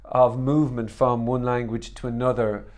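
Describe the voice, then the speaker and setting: A man at a mid-range pitch hosts a casual podcast interview. He asks probing questions in a hesitant way, full of "uh" and "um", and circles back to rephrase them. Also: level tone, normal speed, a little mellow. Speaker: podcast host.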